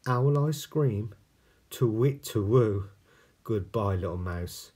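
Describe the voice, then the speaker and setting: A man's voice: fairly low pitch, animated, slow, darkish tone, husky, voice pushed up, exaggerated voice. A man voicing a sly, easily scared owl in a storybook, emphatic and exclamatory. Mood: alarmed.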